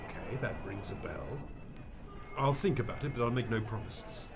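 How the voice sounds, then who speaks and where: A man's voice: fairly low pitch, lively intonation, moderate pace, music in the background. An actor playing a dismissive businessman who runs charities, speaking breezily and offhandedly in an amateur radio drama.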